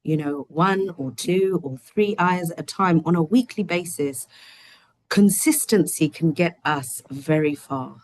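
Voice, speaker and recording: Low-voiced woman hosting an online lesson. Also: dry recording, poor audio. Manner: gentle, chatty, many fillers. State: slightly croaky voice. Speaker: host. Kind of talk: online study session, translating and explaining. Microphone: video call.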